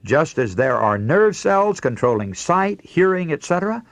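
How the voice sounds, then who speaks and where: A man's voice: on the high side, very animated, normal speed, dry recording. A man preaching a scripted radio sermon in an earnest, rhetorical way, addressing listeners directly. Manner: earnest, rhetorical, emphatic oratory.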